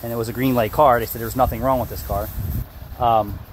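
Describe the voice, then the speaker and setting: A man's voice: medium pitch, fairly even intonation, fast, slight background noise, low-quality recording. Angry middle-aged man ranting outdoors. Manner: angry, blunt, swears and rambles. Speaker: car repair shop owner. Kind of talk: ranting vlog to camera. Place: outdoors beside a building. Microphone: phone held at arm's length.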